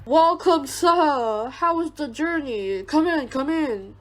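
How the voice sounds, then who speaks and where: A harsh, domineering patriarch in a radio drama, acted by a woman. The voice sits very high, and the manner is controlling and stern toward the family. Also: animated, takes it slowly. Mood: commanding or fawning. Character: harsh, domineering father, abusive to his daughter.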